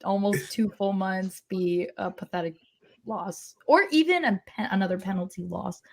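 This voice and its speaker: Mid-pitched woman chatting about sports. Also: normal speed. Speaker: soccer podcast co-host. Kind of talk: casual sports chat.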